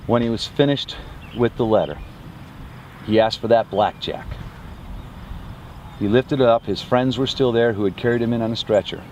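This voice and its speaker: A man working as a tour guide, telling a dramatic history story to tourists in deliberate, short phrases. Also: medium pitch, a little mellow. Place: outdoors on a battlefield. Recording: clear outdoor ambient noise.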